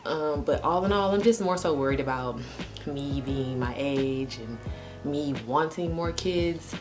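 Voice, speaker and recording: A woman in her late twenties with a notably low voice chats openly to her camera, rambling and candid with plenty of "like" and "um". Faint music plays softly under her talk. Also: measured pace. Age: 20s. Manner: candid and chatty, heavy use of "like" and "um". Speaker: vlogger. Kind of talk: casual personal talk to camera.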